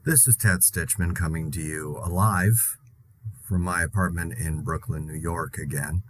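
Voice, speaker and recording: Actor as low-voiced, wry, anxious apocalypse broadcaster. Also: male voice, steady tone, slight background noise. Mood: wry, weary. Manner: rambling, sardonic, halting. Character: anxious, wry man broadcasting alone during zombie apocalypse. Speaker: podcast host in a zombie-outbreak audio drama. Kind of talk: confessional monologue broadcast, conversational.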